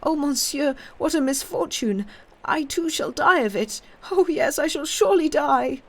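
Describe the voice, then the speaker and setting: Reader doing an old widowed marchioness: high, shaky, tearful, storybook period drama. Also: a little slow, creaky. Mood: wailing. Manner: high, trembling, tearful delivery. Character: grieving elderly noblewoman, widowed, dazed. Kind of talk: audiobook character voice.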